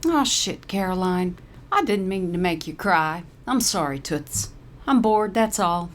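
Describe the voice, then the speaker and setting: A woman's voice: fairly low pitch, animated, a little slow. Woman voicing a surly, sarcastic old lady. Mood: sorry. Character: cranky, salty-tongued old woman in retirement home.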